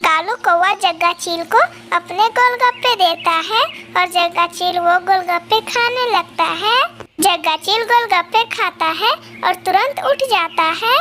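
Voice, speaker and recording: Very high, bright female story narrator. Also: measured pace, a little hiss or hum. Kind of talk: scripted children's story narration.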